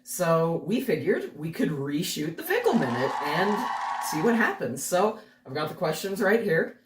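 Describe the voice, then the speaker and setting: A woman's voice: fairly low pitch, big pitch swings, moderate pace, far from the mic, heavy background noise. A woman hosting a casual, chatty on-camera interview with a guest. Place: indoor room, sharing the frame with guest. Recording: roomy, off-mic, music underneath. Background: guitar music.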